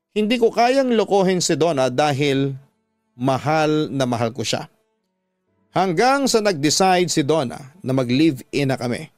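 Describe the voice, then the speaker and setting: A man's voice: on the high side, dry recording. A man with a Filipino accent narrating a scripted first-person story dramatically, as a radio host reading a listener's letter.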